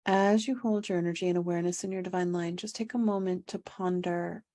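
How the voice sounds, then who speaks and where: A woman leading a guided meditation as a coach, speaking calmly and without hurry, with pauses and gently repeated phrases. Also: lowish voice. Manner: calm, unhurried, repetitive phrasing with pauses. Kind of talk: guided meditation, spoken instruction.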